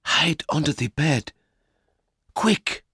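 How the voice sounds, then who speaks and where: A man voicing a frightened young wife who pleads urgently, in a much higher pitch than his own. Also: animated, slow pace, pushed and bright, husky, no echo, studio quality, exaggerated voice.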